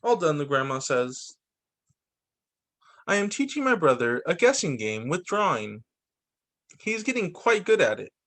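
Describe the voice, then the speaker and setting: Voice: mid-range voice, big pitch swings, moderate pace, pauses often, fairly bright tone. A teacher reading a picture book aloud to children, expressively acting out the characters' lines. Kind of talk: animated children's story reading. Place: remote video story time for distance learning.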